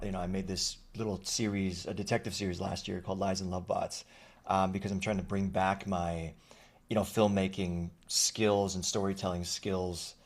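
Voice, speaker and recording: Reflective deep-voiced man, halting speech. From Thailand. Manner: reflective, halting, many fillers. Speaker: actor guest. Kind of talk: conversational interview.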